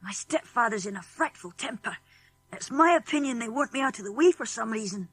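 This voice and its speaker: A woman's voice: on the high side, lively intonation, a little fast, breathy edge. A woman voicing an eager, plucky English schoolboy in a children's audiobook, boyish and earnest, with a British accent. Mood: upset, indignant. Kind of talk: children's audiobook character acting.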